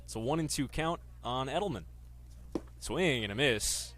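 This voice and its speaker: Young man, bright sports announcer voice. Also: medium pitch, expressive, moderate pace. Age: 20s. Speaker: sports reporter and baseball radio play-by-play announcer. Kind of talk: sports reporting and live baseball commentary.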